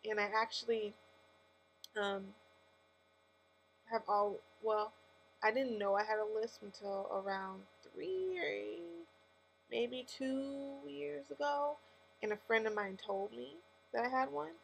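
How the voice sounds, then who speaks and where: A woman's voice: a little high, slow, gravelly, pauses often, low-quality recording. Lisping woman vlogger over background hum. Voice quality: lisp. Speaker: vlogger with a faith-based message. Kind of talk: casual personal testimony to camera. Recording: steady mains hum and background noise.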